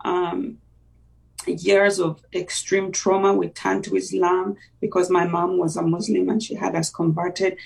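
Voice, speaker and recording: A woman's voice: on the low side, level tone, unhurried, gravelly, darkish tone, far from the mic, poor audio. A woman from Uganda, a native Luganda speaker, telling her life story reflectively with many "you know" fillers. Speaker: guest sharing testimony. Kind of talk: personal testimony in conversation.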